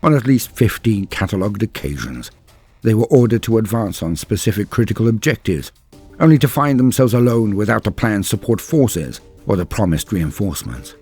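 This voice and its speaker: A man with a fairly low, husky voice delivers scripted, dramatic lore narration. His delivery is grave and measured, in the formal wording of a storyteller, with faint music playing behind him. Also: sing-song, a little mellow. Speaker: narrator of a science fiction lore channel. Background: background music.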